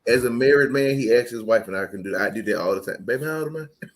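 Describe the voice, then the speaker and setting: A man's voice: medium pitch, fast talker. A man in his late thirties co-hosting a podcast, ribbing his friends and steering the casual chat to the next topic. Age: 30s. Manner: playful, ribbing, steers the talk. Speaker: podcast co-host.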